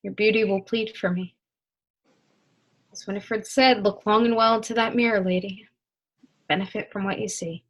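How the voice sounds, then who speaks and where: A woman's voice: medium pitch, fairly even intonation, moderate pace, pauses often, a bit bright, dull audio. A young woman acting an ardent, impatient lover in a stage melodrama, declaiming with passion. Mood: resolute. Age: young adult. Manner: declamatory, impassioned. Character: ardent, impatient lover, eloquently scolding and pleading. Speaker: student actor. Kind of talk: stage acting in a one-act period melodrama.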